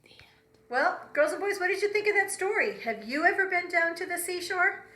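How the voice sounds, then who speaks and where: A woman preschool teacher telling a story to young children in an expressive way, with simple phrasing and direct questions. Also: extremely high pitch, fairly quick.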